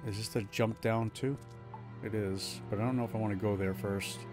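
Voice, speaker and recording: A man's voice: fairly low pitch, steady tone, brisk. A man streaming a game, casually talking to himself as he explores and fights. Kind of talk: live gameplay commentary. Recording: game music behind voice.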